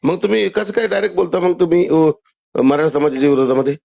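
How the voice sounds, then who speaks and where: Fast, high-pitched Maharashtrian man on phone. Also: creaky. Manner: insistent, interrupting. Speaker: caller. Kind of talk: argumentative phone conversation. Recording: muffled lo-fi phone call recording.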